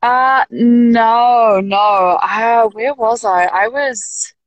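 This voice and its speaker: Young NZ woman, podcast guest on a video call; dry sound, rambling and halting delivery. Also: a little high, no room sound. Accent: New Zealand. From New Zealand. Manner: rambling, halting, laughs easily. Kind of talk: casual interview.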